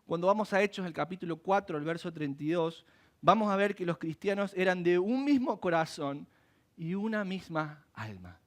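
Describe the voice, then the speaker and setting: A man preaching a sermon in church, animated and asking rhetorical questions. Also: high-pitched, normal speed. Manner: animated, acts out quoted voices and asks rhetorical questions. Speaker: pastor.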